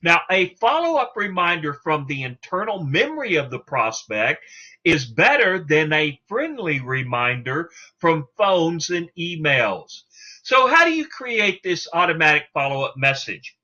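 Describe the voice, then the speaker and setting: A man's voice: a little high, big pitch swings, a little slow, pushed and bright. A man giving an instructional talk as a sales coach, emphatic and salesman-like, putting rhetorical questions to the listener. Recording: dull, lo-fi sound.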